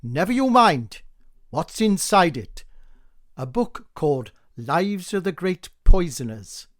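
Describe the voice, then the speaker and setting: A man's voice: high-pitched, very animated, slow, no echo, clean studio sound, voice pushed up, full character voice. A man playing a gossipy village postman who passes news along with the letters. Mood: chatty.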